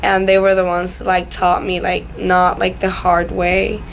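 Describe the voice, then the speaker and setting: A woman's voice: lowish voice, monotone, a little slow. A young woman from Ecuador talking casually about herself in an informal interview, peppering her speech with "like". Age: young adult. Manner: filler-heavy, frequent "like". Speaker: student interviewee. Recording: clearly noisy room recording.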